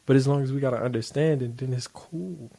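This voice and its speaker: A man giving casual, blunt reaction commentary on a clip he keeps pausing. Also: medium pitch, vocal fry, dark tone. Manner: loose, blunt, profane street talk. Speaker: reaction video host.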